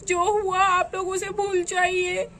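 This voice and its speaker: A woman voicing a meek, apologetic young woman who speaks softly and slowly. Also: very high-pitched, flat delivery, a little hiss or hum, heavily acted. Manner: soft, slow, apologetic.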